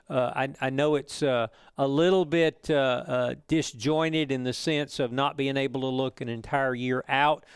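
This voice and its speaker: A man presenting as an official in a webinar, explaining policy in measured, formal administrative wording. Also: mid-range voice, clean tone, no room sound, studio quality.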